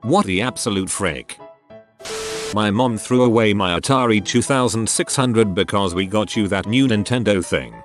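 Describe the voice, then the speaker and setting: A man reading online stories aloud as scripted narration, steady with wry punchlines. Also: on the low side, moderate pace. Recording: soft music underneath.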